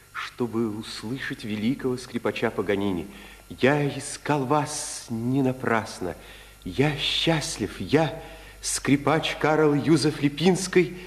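An actor playing an ardent, impatient Polish violinist, earnest and courteous, in a 1950s Soviet radio drama. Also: male voice, mid-range voice, lightly acted. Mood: reverent, moved. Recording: 1950s radio recording, long reverb.

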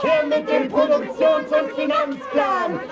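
An actor playing a fervent young Soviet agitator in a radio play, exclaiming revolutionary slogans to rally people. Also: male voice, very high voice, animated, unhurried. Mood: fiery. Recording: muffled, roomy, loud music and crowd.

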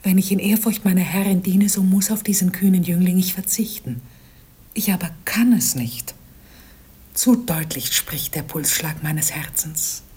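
A woman's voice: lowish voice, measured pace, a little mellow, breathy edge. A woman playing a lovesick slave torn by passion, half whispering in anguish in a theatrical radio play.

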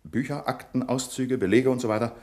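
An actor playing a pedantic, self-important bank manager in a radio crime comedy, pompous and officious. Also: male voice, medium pitch, fairly quick, darkish tone, close to an everyday voice. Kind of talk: 1970s German-language radio crime comedy acting.

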